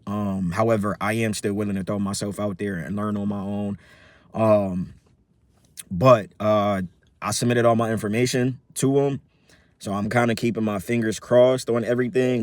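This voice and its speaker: A man in his thirties giving a casual personal update as a vlogger, rambling with many fillers and restarts in a relaxed, upbeat way. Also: on the low side, moderate pace, dry recording. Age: 30s.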